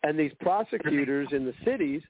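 Man phoning in to a radio show: rambling, repetitive casual talk in a somewhat high voice, dry and tinny over the line. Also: expressive, brisk, dry recording. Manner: rambling, repeats words while talking. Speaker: caller. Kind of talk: casual phone-in chat.

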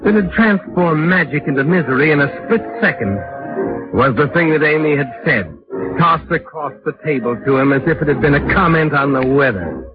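An actor playing a sardonic, taunting narrator in dramatic 1940s radio mystery style. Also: male voice, on the high side, expressive, moderate pace, lightly acted. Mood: brooding. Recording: dull vintage radio recording, music behind.

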